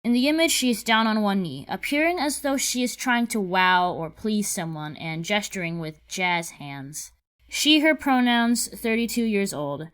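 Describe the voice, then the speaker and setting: A woman narrating a scripted casting call with image descriptions, reading in a measured, methodical way. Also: a little high, fairly bright tone.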